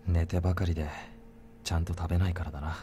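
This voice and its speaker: An actor voicing a gentle young man who looks after a sleeping girl, muttering softly to himself in game voice acting. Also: deep voice, level tone, racing, noisy background, natural voice. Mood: quiet.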